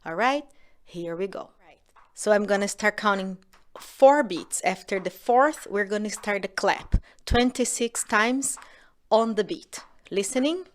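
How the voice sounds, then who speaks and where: A woman from Brazil with a Brazilian Portuguese accent, teaching a music lesson to children in a gentle, encouraging way that often drops to a soft whisper. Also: mid-range voice, measured pace.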